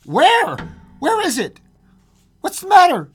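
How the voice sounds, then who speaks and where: A woman's voice: low voice, very animated, unhurried, full character voice. A woman voicing a frightened old husband in a story, stuttering and faltering unsteadily. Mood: alarmed bewilderment. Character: frightened, trembling old husband, aghast and tender.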